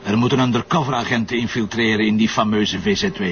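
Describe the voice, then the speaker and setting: A man's voice: on the low side, steady tone, quick pace, fairly bright tone, lightly acted. A man with a Flemish accent acting as a decisive, stubborn police commissioner in a television crime drama, curt and authoritative. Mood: firm, insistent. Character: decisive, stubborn male police commissioner running a risky plan. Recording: dull lo-fi audio with faint noise.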